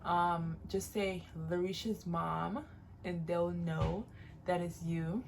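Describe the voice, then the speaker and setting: A woman's voice: on the low side, fairly even intonation, takes it slowly, gravelly, a little hiss or hum. A woman in her late twenties, originally from Jamaica, giving casual, encouraging advice in a vlog and repeating phrases for emphasis. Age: late 20s. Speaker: vlogger.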